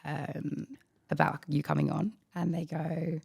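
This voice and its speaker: Young woman, australian accent, croaky, gravelly fry, lowish pitch, teasing banter. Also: level tone, unhurried, darkish tone. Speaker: podcast co-host. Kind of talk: casual chat.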